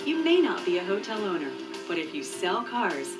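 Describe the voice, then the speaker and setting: A woman narrating a scripted promotional video in a clear, measured, informative way. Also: medium pitch, big pitch swings. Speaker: voice-over narrator. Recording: muffled distant VHS tape dub with music. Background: background music.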